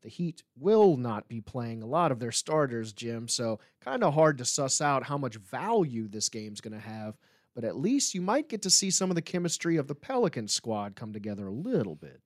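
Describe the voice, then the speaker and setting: A man's voice: medium pitch, very animated, normal speed, no echo, studio quality. A man hosting a sports podcast in a casual, chatty style. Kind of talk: casual chat.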